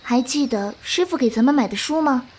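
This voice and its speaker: Woman acting a gentle young female disciple, high lilting voice. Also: lively intonation, a little fast, slight background noise. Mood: calm, concerned. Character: young female martial arts disciple, gentle, patient older apprentice. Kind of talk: Chinese period wuxia audio drama acting.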